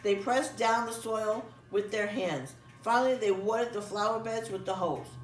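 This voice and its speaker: Female storybook reader, animated delivery for children, roomy sound set back from the mic. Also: mid-range voice, moderate pace. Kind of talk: expressive children's story read-aloud. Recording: echoey room, distant mic, music behind.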